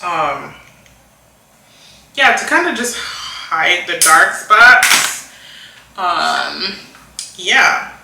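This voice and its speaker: A woman from Rwanda in her thirties, chatting casually and softly while doing her makeup, rambling with lots of fillers and restarts. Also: fairly low pitch, far from the mic. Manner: soft-spoken, rambling, many fillers and restarts. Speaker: beauty vlogger. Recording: echoey room, voice a bit off-mic.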